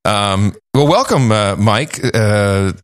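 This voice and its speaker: A man hosting a podcast in casual chat, rambling and swinging his pitch up and down as he talks. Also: lively intonation, takes it slowly, smooth, dry recording, clean studio sound. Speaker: podcast host.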